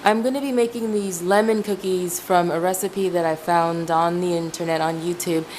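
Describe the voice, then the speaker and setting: Woman from Ecuador, Spanish native, fairly low voice, casual rambling kitchen vlogger, camera mic with faint hiss. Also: steady tone, moderate pace, a little mellow. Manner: chatty, rambling, restarts and self-corrections. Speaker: home cooking vlogger. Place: home kitchen. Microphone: camera mic, speaker off screen.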